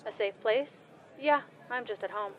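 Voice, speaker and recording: A woman's voice: a little high, normal speed, natural voice. Woman playing an offhand caller, muffled phone line. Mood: casual. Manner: offhand, apologetic. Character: casual, mildly exasperated female time-jumping caller. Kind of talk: audio comedy drama acting. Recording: muffled phone call, faint chatter behind.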